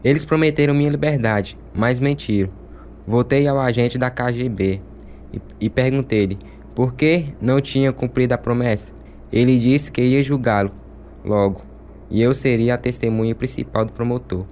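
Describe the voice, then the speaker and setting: An actor as a guilt-ridden male prisoner, troubled and confessional, in a mid-pitched voice. It is radio drama with faint ambient noise behind. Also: moderate pace, a little mellow, lightly acted. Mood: remorseful, afraid. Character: guilt-ridden fellow prisoner turned informer.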